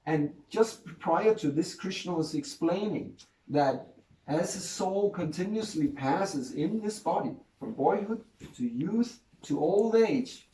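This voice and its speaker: A man's voice: a little high, warm tone, a little hiss or hum. A man giving an informal spiritual talk to a group, chatty and joking, often restarting his sentences. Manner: conversational, jokes and laughs, restarts often. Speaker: spiritual speaker. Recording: echoey room, voice from far off mic.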